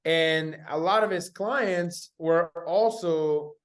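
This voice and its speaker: Young male guest, slightly high voice, casual and slangy, bro-heavy personal storytelling. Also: slow pace. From Spain. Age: young adult. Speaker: interview guest, entrepreneur.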